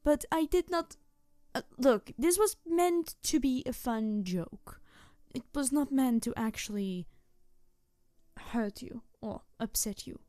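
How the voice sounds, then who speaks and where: A mischievous tanuki spirit girl, acted by a woman in a roleplay audio drama, with a fairly high voice recorded very dry and close. She teases and rambles excitably, hesitating and backtracking like a trickster who cannot stand silence. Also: slow pace, no room sound. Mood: flustered, apologetic. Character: playful, mischievous young-sounding tanuki trickster spirit girl, over two hundred.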